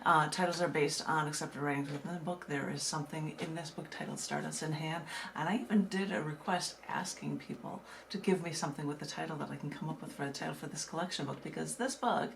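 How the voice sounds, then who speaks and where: A woman poet from the United States reading her own work and talking casually about it, in a measured way with dramatic pauses. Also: low voice, fairly even intonation, gravelly, a little hiss or hum. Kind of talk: poetry reading with casual asides.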